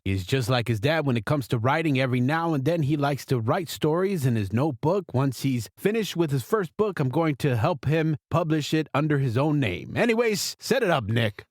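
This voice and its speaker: A man's voice: mid-range voice, no room sound, studio quality. A middle-aged man hosting a show in casual family banter. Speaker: show host, father joining his kids in a skit.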